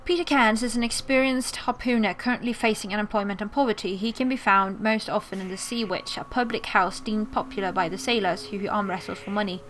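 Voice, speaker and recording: A woman's voice: medium pitch, steady tone, fairly quick, few pauses, a bit bright. Girl doing casual game commentary, mixed american-british accent, pretty noisy audio. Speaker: gaming video host. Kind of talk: casual game playthrough commentary, reading game text aloud.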